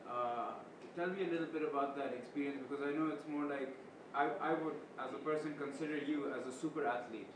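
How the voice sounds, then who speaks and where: A man's voice: fairly high pitch, fairly quick, few pauses. Male interviewer, talkative questioner; distant, roomy, noisy recording. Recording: roomy, distant mic with background noise.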